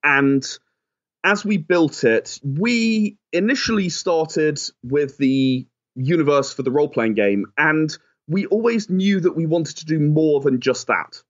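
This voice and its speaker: A man with a slightly high-set voice talks as an interview guest. He explains things conversationally, often qualifying his phrases. The sound is a little dull and lo-fi. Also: animated, a little slow. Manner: explanatory, hedging with "sort of". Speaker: game designer and writer, interview guest.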